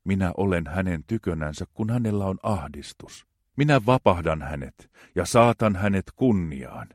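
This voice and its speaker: A man with a very low, deep voice reads psalms slowly and reverently. The sound is dry and close, with no room echo. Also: animated. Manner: slow, measured, reverent reading. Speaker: scripture reader. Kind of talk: solemn reading of psalms.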